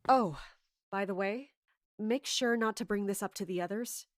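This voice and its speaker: A woman playing a scheming, authoritative commander in an audio drama, speaking in a measured way. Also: mid-range voice, no room sound, clean studio sound, natural voice. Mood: cool, commanding. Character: scheming, commanding woman in charge of palace guards. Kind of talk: audio drama acting with first-person narration.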